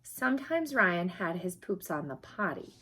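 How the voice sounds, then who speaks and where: A woman reading a children's picture book aloud in an expressive, emphatic storytelling manner, with a slightly husky voice. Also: on the low side, a little slow.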